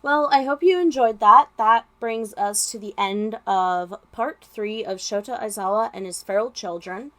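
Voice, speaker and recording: A woman reading a story as narrator, with expressive, dramatic delivery. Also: mid-range voice, measured pace, hardly any pauses. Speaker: podfic narrator.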